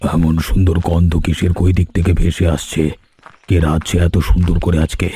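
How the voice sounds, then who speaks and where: A man voicing a kindly elderly village man in an animated cartoon, speaking gently and fatherly. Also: sits low, moderate pace, slightly rough. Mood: nostalgic. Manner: gentle, fatherly, calls others "ma".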